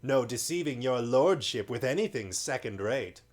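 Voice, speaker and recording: A man's voice: medium pitch, animated, moderate pace, natural voice. A man voicing a wheedling, coarse male slave dealer in a read-aloud story. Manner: wheedling, cockney-ish slang.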